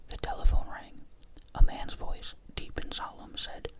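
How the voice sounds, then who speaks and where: A narrator reading a first-person crime story aloud in a steady whisper. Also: unhurried. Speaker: audiobook narrator.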